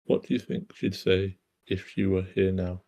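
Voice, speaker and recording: Man reading as a gravelly, forward teenage girl. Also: deep voice, level tone, moderate pace, warm tone. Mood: teasing, probing. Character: forward, teasing teenage girl, curious and direct. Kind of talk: amateur screenplay table read.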